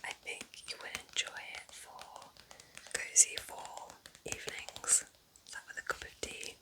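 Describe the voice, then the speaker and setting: Woman as a kind witch, crisp whisper. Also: unhurried, poor audio. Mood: gentle, welcoming. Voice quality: breathy unvoiced whisper. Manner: gentle, soothing, chatty, affectionate. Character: kind, cosy forest witch welcoming a visiting relative. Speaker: ASMR roleplay host. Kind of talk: whispered ASMR roleplay.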